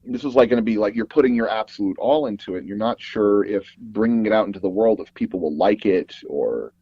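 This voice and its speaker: A man being interviewed as a guest, telling personal anecdotes in a rambling, halting way and often circling back to rephrase. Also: lowish voice, animated, warm tone, breathy edge, no room sound, lo-fi sound. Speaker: guest audio drama producer and actor.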